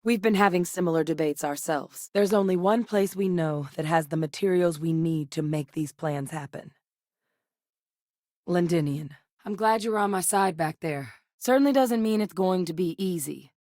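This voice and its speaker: An actress playing a determined, professional military scientist woman in a sci-fi video game, speaking in an earnest, measured and articulate way. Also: fairly low pitch, darkish tone, no echo, clean studio sound, lightly acted. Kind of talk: scripted video game character dialogue.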